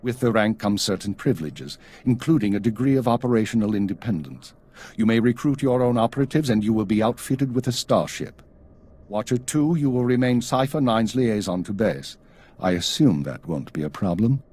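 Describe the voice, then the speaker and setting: A stern spymaster in a video game. His voice sits on the low side and has a husky grain. He gives orders in a clipped, cool and authoritative way. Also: animated, moderate pace, darkish tone. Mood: grave, commanding. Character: stern male spymaster, commanding and coolly measured. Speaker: intelligence chief.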